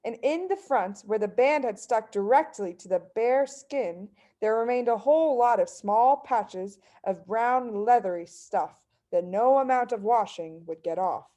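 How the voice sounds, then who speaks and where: Female children's audiobook narrator, expressive storytelling, slightly high register. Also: a little slow, hardly any pauses. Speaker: story reader.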